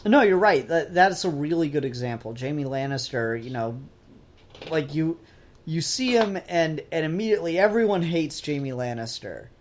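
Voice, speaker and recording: A man's voice: on the high side, sing-song, normal speed, a little hiss or hum. Man chatting casually on a podcast. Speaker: podcast co-host. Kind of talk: casual discussion of comics and TV.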